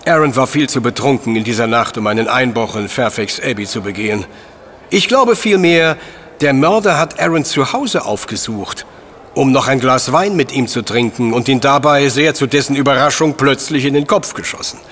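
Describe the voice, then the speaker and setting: Man playing an animated, superior professor detective. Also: mid-range voice, moderate pace, slight background noise, lightly acted. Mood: explaining. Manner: precise, didactic, superior. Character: brilliant, self-assured professor detective, deducing coolly. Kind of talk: German audio drama acting.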